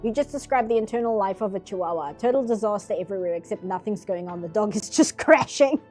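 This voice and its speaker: A woman's voice: medium pitch, animated, fast talker, darkish tone, soft background music. A nasal-sounding woman streaming herself painting, chatting casually in rambling asides. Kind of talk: casual chat while drawing.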